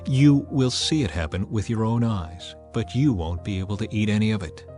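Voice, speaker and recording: Commanding prophet in a scripture drama, read by a man, music softly behind. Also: fairly low pitch, moderate pace, natural voice. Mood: solemn. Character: calm, commanding male prophet.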